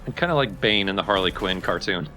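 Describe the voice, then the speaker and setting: A man's voice: low-pitched, animated, fast talker, pushed and bright. A man casually bantering as a co-commentator on a video game let's play. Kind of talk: casual banter over gameplay. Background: game music.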